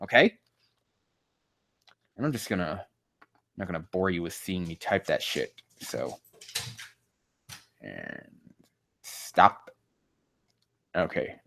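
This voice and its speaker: A man's voice: sits low, creaky, fairly bright tone, no room sound. A man hosting a sarcastic reaction reading of fan fiction, with a profane, mocking manner prone to exasperation. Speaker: host.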